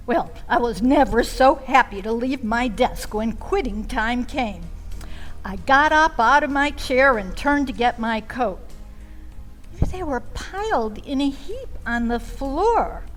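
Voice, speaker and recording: A woman telling a first-person story in a theatrical way, with dramatic, deliberate delivery, pauses and little asides. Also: sits high, a bit bright.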